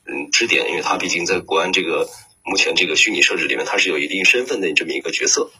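A man's voice: low voice, fast, sharp tone, husky, rough recording. A man working as a voice actor, giving reflective interview answers and hesitantly searching for words. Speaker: voice actor, guest.

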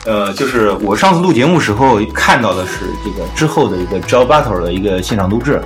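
A man's voice: on the low side, expressive, fast. A man hosting a casual music podcast, talking through his opinions in an informal discussion.

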